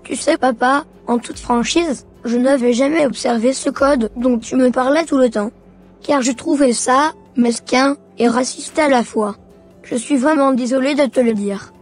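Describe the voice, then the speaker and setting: High, husky young boy, played by a woman. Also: a little slow, soft background music. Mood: earnest, respectful. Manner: earnest, respectful. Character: polite earnest young son, devout and affectionate.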